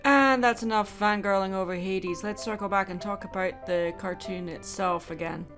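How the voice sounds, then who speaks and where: A woman giving scripted video-essay commentary with wry, self-deprecating asides. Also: mid-range voice. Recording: music under the voice-over. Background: music.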